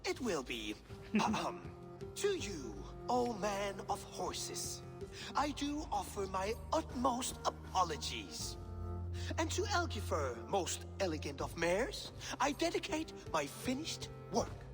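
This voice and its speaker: A grandiloquent, eccentric Norse artist in a video game. He speaks slowly and swoops theatrically through flowery phrases, in an echoing hall with music behind him. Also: high voice, huge pitch swings, heavily acted. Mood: mock-grand apology.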